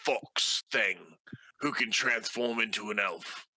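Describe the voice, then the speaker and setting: A man playing a tabletop role-playing game, improvising in character in a halting manner. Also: lowish voice, pushed and bright, no room sound.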